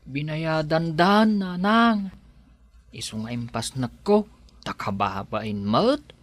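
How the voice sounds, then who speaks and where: A man's voice: fairly high pitch, animated, slow. An actor with an Ilocano accent playing an earnest young village man who drives for a living, in a radio drama. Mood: earnest, explaining.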